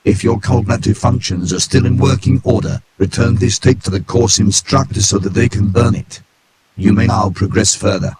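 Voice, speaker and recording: A man voicing a polite, formal male AI supercomputer that guides trainees in a calm, courteous, clipped manner. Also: sits low, moderate pace, very noisy, close to an everyday voice. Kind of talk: scripted narration for an analog horror tape.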